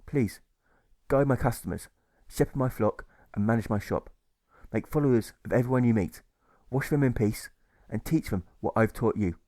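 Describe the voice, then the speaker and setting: A man voicing a humble, gentle peace preacher in an audiobook, courteous and pleading, repeating himself. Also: mid-range voice, normal speed, no room sound, natural voice. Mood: gently pleading.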